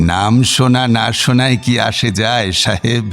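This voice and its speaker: An actor playing a calm, thin old village schoolmaster with a measured, dryly ironic manner in a radio drama. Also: male voice, mid-range voice, gravelly, full character voice. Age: older.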